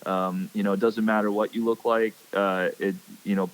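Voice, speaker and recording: Low-voiced man from Hawaii, halting. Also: level tone, low-quality recording. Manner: hesitant, many fillers and restarts. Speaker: podcast guest, pro bass angler. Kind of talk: casual podcast chat.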